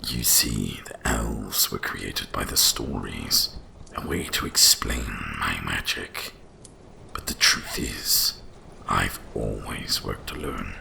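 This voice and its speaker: A man voicing an ancient, wise dragon guardian of winter in a slow, solemn, gravelly whisper for an ASMR roleplay. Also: expressive, background noise, exaggerated voice. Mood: grand, revealing. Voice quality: deep gravelly breathy whisper. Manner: slow, solemn, deliberate delivery.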